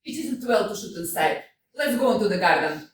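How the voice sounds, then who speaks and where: A woman's voice: medium pitch, lively intonation, brisk, slight background noise, close to an everyday voice. A woman playing a fiery, contrary widow. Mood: cool. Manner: laughs often, flips between scorn and pleading. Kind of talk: staged reading of a stage comedy. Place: community theater stage. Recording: echoey hall, mic from far off.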